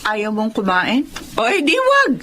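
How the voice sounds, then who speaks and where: A woman's voice: fairly high pitch, wildly swooping pitch, unhurried, sharp tone, a little hiss or hum, full character voice. A firm, earnest Filipino mother. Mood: exasperated. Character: firm, self-sacrificing middle-aged career mother, earnest. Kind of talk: Filipino radio drama acting. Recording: music bed behind the voice.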